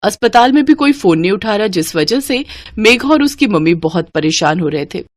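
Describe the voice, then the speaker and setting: A woman tells a scripted animated moral story at an even, mid-range pitch. Her narration is steady and plain, carrying the plot along without fuss. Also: normal speed, no room sound.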